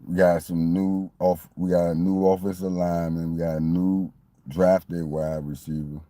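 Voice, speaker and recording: A man's voice: deep voice, flat delivery, moderate pace, mellow, no room sound. A man in his late forties from Miami, talking casually and repetitively about his football team. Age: 40s. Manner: repetitive, meandering, conversational. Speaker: sports fan commentator. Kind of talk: casual sports talk monologue.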